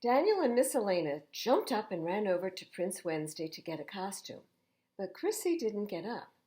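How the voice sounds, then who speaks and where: An older woman reading children's storybooks aloud as a storytime host, expressive and emphatic, with chatty asides to young listeners. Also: medium pitch, normal speed. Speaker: library storytime reader.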